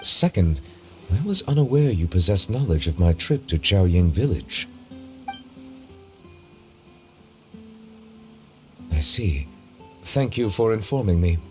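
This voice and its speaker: Low dignified game judge, super formal and precise, reserved, big reverb, some music under it. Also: male voice, very animated. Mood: composed, formal. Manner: measured, precise, stiffly formal. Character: formal, dignified long-lived male chief judge, earnest and reserved. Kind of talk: video game voice acting.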